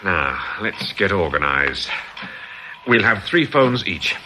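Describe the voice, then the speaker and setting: An actor playing a brisk, bossy gang leader in a radio drama, firm and insistent. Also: male voice, low voice, sing-song, exaggerated voice. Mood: firm, managing. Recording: slightly noisy radio drama recording.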